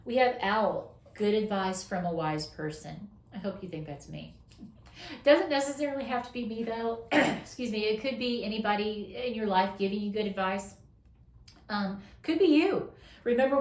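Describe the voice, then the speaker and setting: A woman tarot reader talks through a casual weekly reading in an encouraging, affirming tone. She stretches out the words she wants to stress. Her voice is going hoarse, and the room gives it a noticeable echo. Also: fairly low pitch. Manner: encouraging, affirming, drawn-out emphasis. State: losing her voice, coughing.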